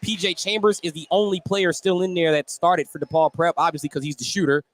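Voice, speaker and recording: A man's voice: a little high, fairly even intonation, fairly quick. A man calling live basketball play-by-play as a radio announcer from a gym. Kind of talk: live sports commentary. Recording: light gym noise behind the commentary. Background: gym ambience.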